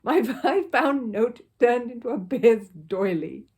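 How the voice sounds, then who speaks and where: A woman's voice: on the high side, very animated, a little slow, darkish tone, pitched above the performer's own voice. A woman voicing a grumpy, bad-tempered older male neighbour in a storybook, growling and blustering indignantly. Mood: bellowing, bitter.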